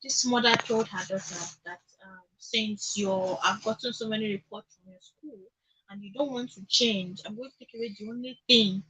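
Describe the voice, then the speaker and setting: A woman's voice: medium pitch, fairly even intonation, moderate pace, sharp tone, slight background noise, rough recording. A woman in a conversational radio discussion, opinionated, telling stories with quoted dialogue and rhetorical questions. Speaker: radio talk show guest.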